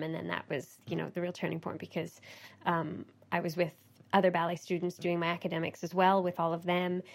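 This is a Canadian woman in her thirties, a dancer being interviewed. Her voice sits slightly low and has a gravelly fry to it. She talks softly, pausing with ums and laughing easily. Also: a little hiss or hum. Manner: soft-spoken, hesitant with many ums, laughs easily. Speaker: ballet dancer guest. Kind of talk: casual interview. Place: theatre in Leeds.

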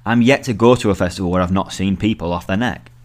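Low voiced guy reading out his own article, scripted journalism narration. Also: quick pace.

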